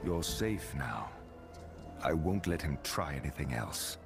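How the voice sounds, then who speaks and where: A man playing an earnest, steady video game adventurer who talks in a calm, measured, firm way. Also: very deep voice, creaky, full character voice. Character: earnest, steady male adventurer seeking a one-eyed man. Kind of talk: video game dialogue acting. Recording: loud game music behind.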